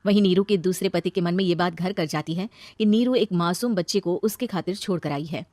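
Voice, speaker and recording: A woman's voice: on the low side, a little fast, a little mellow, no room sound. Woman narrating a story, dry studio sound. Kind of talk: scripted moral-story narration.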